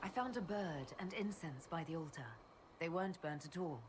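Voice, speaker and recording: An actress playing a composed military leader heroine in a video game, speaking in a calm, direct, probing way. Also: female voice, sits low, moderate pace, noisy background, natural voice.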